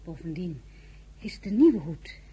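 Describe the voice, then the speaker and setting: A woman's voice: on the low side, very animated, unhurried, warm tone, slightly rough, heavily acted. A woman playing an anxious, earnest young wife and mother in a radio drama, with a hesitant way of talking. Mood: reserved. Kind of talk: Dutch radio drama acting with first-person narration.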